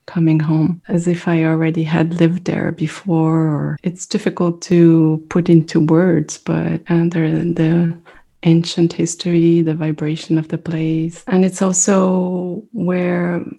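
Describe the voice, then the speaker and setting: Woman, native French speaker, middle-aged, low flat voice, husky and gravelly, mellow tone, slow reflective speech. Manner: thoughtful, searching for words, soft pauses. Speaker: mindfulness meditation teacher, guest. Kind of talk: reflective conversational interview.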